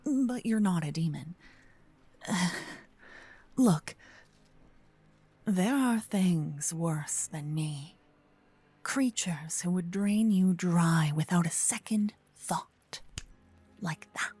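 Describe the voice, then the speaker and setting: A woman playing a gentle but possessive vampire kidnapper in an ASMR roleplay, speaking softly and intimately with frequent whispering, close to a binaural mic. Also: on the low side, expressive, exaggerated voice. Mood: urgent, earnest. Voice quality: soft, breathy, often whispering. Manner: slow, intimate, earnest, dramatic pauses. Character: gentle possessive female vampire kidnapper, self-proclaimed protector. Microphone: close binaural microphone, ear to ear.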